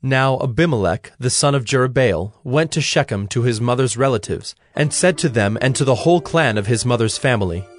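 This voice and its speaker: Mid-pitched solemn male scripture narrator. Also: expressive, dry recording. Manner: measured, solemn.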